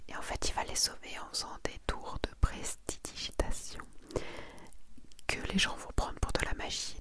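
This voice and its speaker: A woman whispering in a breathy, intimate way, as the host of an ASMR video speaking to one listener. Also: mid-range voice. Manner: soft, intimate, addresses one listener informally. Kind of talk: whispered ASMR, chatting and reading out manga summaries.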